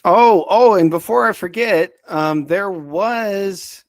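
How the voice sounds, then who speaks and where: A man giving recommendations as a podcast guest in casual unscripted chat, enthusiastic but halting and rambling as he searches for words. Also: high-pitched, no echo, clean studio sound. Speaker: podcast guest reviewing audio dramas.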